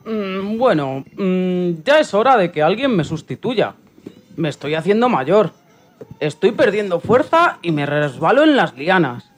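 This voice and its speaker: Aging jungle patriarch for radio theatre, acted out, tired yet proud, unhurried, very expressive. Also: medium pitch. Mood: weary, hesitant.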